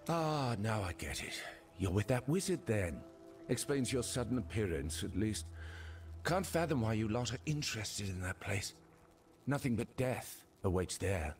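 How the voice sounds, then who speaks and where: An actor playing a brusque, world-weary, middle-aged port master in a video game, irritable and dismissive. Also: male voice, lowish voice, sing-song, normal speed, faint music behind. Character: gruff, irritable male port master of a swamp island. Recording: noisy game ambience behind.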